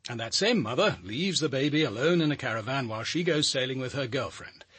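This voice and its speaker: A sceptical English police detective for a radio play. His husky voice sits at a middling pitch, recorded close and dry. He speaks with measured, understated authority. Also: animated, fairly bright tone, no room sound. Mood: firm, sceptical. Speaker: actor in a radio drama. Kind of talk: radio drama dialogue and first-person narration.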